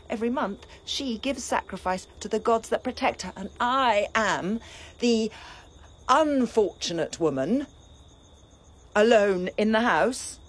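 An actress playing a grieving, vengeful young princess in a classical tragedy, giving an impassioned, bitter lament that sometimes drops to a whisper. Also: female voice, a little high, husky, heavily acted. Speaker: stage actor. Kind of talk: classical tragedy stage acting.

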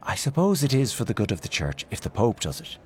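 A man putting on an indignant old aunt, raised in pitch from his own low voice and lively in tone. She presses each point with emphatic scorn in a storybook reading. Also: moderate pace, close to an everyday voice. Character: indignant elderly aunt, fiercely opinionated.

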